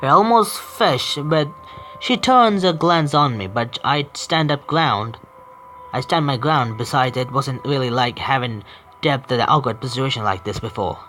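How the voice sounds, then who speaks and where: A man's voice: mid-range voice, a little slow, bright, forceful tone, a little hiss or hum. A man streaming himself reading a visual novel aloud, often stumbling and correcting himself.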